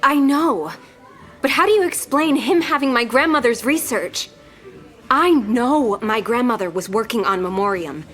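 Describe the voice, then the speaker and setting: A woman's voice: a little high, expressive, a little slow, fairly bright tone. An actress playing an anxious, earnest young woman in an audio drama, speaking with emotional, defensive feeling. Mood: insistent. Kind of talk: scripted audio drama dialogue.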